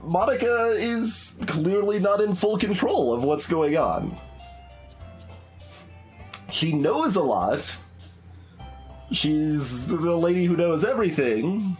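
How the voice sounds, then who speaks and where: A man giving casual, rambling playthrough commentary as a video game streamer, musing aloud as he goes. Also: a little high.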